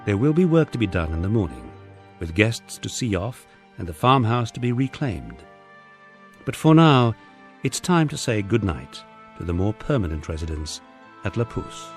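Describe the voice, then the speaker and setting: A man narrating a first-person memoir in a measured, storytelling way, as an audiobook reader. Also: fairly low pitch, very animated, soft background music.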